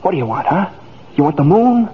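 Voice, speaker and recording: Casting: restless young small-town dreamer, stammering, highish swooping voice, old radio drama. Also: male voice, sing-song, fairly quick. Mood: eager, boastful. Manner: stammering, repeating words. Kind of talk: 1940s radio acting. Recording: muffled, hissy vintage radio recording.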